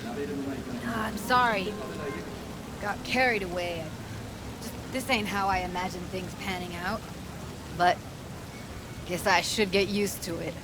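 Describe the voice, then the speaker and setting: A woman's voice: medium pitch, takes it slowly, vocal fry, long pauses, background noise. An actress playing a hotheaded, cynical but loyal young woman with a blunt, slangy way of talking in a video game. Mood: apologetic, resigned. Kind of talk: video game cutscene acting, naturalistic dialogue.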